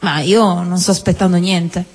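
A woman acting a wry, teasing, restless sweetheart in halting theatrical dialogue, repeating herself as she goes. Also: lowish voice, fairly even intonation, a little mellow, husky. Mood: wry, hesitant. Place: theatre hall, live show. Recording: echoey hall, live stage recording.

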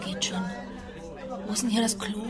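A woman's voice: medium pitch, little pitch movement, normal speed, close to an everyday voice. An actress playing a tense pregnant woman in a naturalistic radio drama, terse and bitter behind her politeness. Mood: strained politeness. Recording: loud music and crowd behind.

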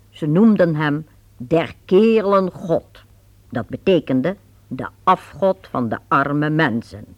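A woman narrates a radio play with very lively, swooping intonation. She speaks slowly, and her voice is slightly breathy. The recording is close and dry. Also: on the low side, no room sound. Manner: lively, expressive storytelling. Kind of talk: scripted storytelling narration.

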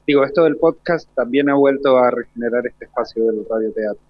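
A man's voice: medium pitch, brisk, dry recording. A male teacher with an Argentine accent, explaining things articulately in an interview. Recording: dull, dry radio sound.

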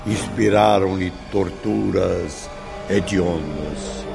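A male narrator delivers a scripted drama slowly and gravely. His voice is gravelly, and music plays behind him. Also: sits low. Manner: grave, formal.

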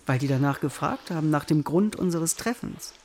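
Actor as a wounded, introspective man, slightly high voice. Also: moderate pace, darkish tone, slight background noise, natural voice. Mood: defensive, candid. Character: wounded, reflective male mathematician, candid and ironic. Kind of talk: German radio drama monologue. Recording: music under the voice.

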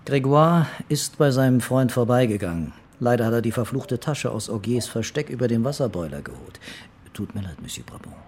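An actor playing a dogged male police commissioner with a husky voice in a radio crime drama. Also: medium pitch, lively intonation, fairly quick, a little mellow, a little hiss or hum, close to an everyday voice. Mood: dry, insistent.

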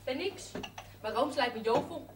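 A cheeky, chatty young woman who wants a job as a maid. Her voice sits high, and she says whatever comes into her head. A faint hiss runs under this old 1970s TV soundtrack. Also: expressive, normal speed. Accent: Dutch. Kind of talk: 1970s TV drama acting.

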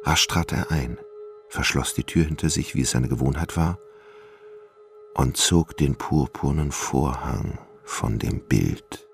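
A man narrating a dark gothic tale as the storyteller of a literary radio drama, grave and measured. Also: extremely low pitch, vocal fry, soft background music, full character voice. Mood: grave, brooding.

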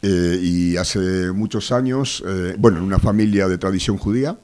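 A man from Argentina with an Argentine accent, a guest on a radio show, speaking with a cold in a measured, hesitant way, full of fillers. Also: low-pitched, darkish tone. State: has a cold. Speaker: activist guest. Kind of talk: radio interview. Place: radio studio.

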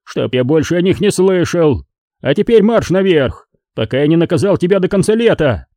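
A man's voice: high-pitched, very animated, moderate pace, warm tone, dry recording, clean studio sound, pitched above the performer's own voice. Man playing a stern, exasperated storybook father. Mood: scolding. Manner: scolding, commanding.

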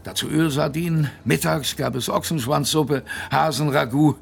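Wry, creaky-voiced sailor narrator. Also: male voice, fairly high pitch, steady tone, moderate pace, exaggerated voice. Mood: wry, recounting. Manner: colloquial, wry, matter-of-fact storytelling. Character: plain-spoken young male polar expedition sailor, wry survivor recounting. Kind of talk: first-person radio play narration.

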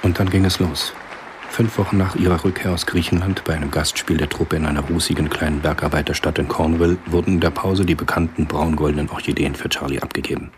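An actor playing a sober storyteller, giving scripted narration for a spy thriller radio drama in a husky voice. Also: male voice, very deep voice, steady tone, fast, noisy background.

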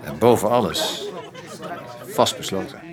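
Onno, a pompous, low-voiced politician. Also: male voice, lively intonation, a little slow, a crowd in the background. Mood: jesting. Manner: declamatory, mock-solemn. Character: Onno, opinionated, pompous, jesting social-democrat politician and husband. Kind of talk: Dutch radio drama acting.

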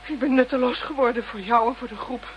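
A woman's voice: a little high, fast talker, highs cut off, a little hiss or hum, close to an everyday voice. An actress playing a defiant, frightened captive woman in a radio drama, emotional and outspoken. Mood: despairing.

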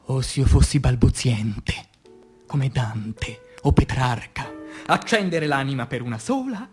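A man performing a dramatic poetry recitation, declamatory and intense, with dramatic pauses and swings between hushed and forceful delivery. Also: medium pitch, animated. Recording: voice over music bed. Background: music.

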